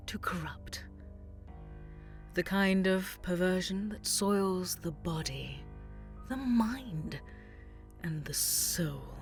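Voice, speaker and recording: Sadistic alien warlord, theatrical and menacing, drawled over background music. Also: female voice, on the low side, exaggerated voice. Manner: languid, purring, drawn-out delivery with menacing relish. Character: sadistic, theatrical dread Archon woman, alien villain. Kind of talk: audio drama acting.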